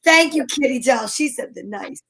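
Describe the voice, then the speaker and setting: Female comic co-host, high-pitched voice, playful mock indignation, loose casual banter into a studio mic. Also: lively intonation, moderate pace, creaky, pushed and bright, slightly rough. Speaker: podcast co-host and performer. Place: upstairs home studio. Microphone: studio microphone.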